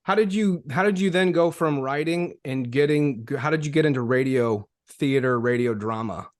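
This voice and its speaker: A man's voice: medium pitch, normal speed, dry recording, studio quality. A man hosting a podcast interview, asking his guest questions in a conversational way.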